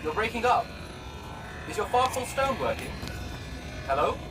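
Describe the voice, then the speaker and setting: An actor playing a chatty, excitable male friend in a video game, with a florid, theatrical way of talking. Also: high-pitched, normal speed, over music, low-quality recording. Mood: eager, chatty.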